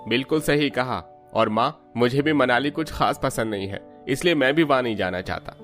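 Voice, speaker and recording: A dismissive young husband in a cartoon family drama, voiced by a man. He has a mid-pitched voice and answers curtly, with music behind him. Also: normal speed, a bit bright, lightly acted. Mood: curt, irritated. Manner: curt, dismissive. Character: curt, uninterested young husband. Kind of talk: animated family drama dialogue.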